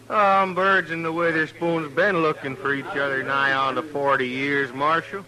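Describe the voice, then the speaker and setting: A man's voice: fairly high pitch, fairly even intonation, measured pace, close to an everyday voice, poor audio. An actor playing a cranky, hard-drinking backwoods man with an Ozark hillbilly accent in a 1950s radio Western. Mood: grumbling, wry. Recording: old radio broadcast, background chatter.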